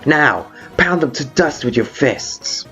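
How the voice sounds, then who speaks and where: A man's voice: medium pitch, lively intonation, takes it slowly, sharp tone, muffled recording, heavily acted. A man voicing a brash, hot-headed female monster guard captain with blunt, informal delivery in a video game fan dub. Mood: fired up. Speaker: character voice actor in a fan dub. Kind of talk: dramatic video game dialogue. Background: background music.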